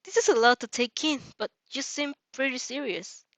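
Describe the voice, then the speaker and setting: A woman's voice: high voice, normal speed, a bit bright, dry recording, voice pushed up. A woman voicing a shy, concerned teenage boy who hesitates and stammers, in a story read-aloud. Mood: hesitant, concerned.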